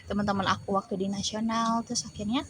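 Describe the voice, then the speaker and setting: A woman's voice: medium pitch, steady tone, quick pace, a little hiss or hum. A teenage girl from West Java, a pageant model being interviewed, talking in a loose, chatty way with lots of fillers. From West Java, Indonesia. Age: teens. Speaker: guest, teen pageant model. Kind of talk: casual interview chat.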